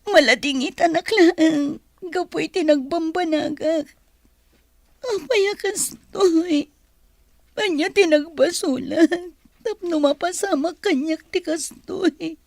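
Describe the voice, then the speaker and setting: A very high-voiced, sorrowful young Filipino mother. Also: dry recording, clean studio sound, exaggerated voice. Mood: sorrowful, pleading. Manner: tearful, pleading, slow. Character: sorrowful young mother giving up her baby. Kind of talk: Filipino radio soap opera acting.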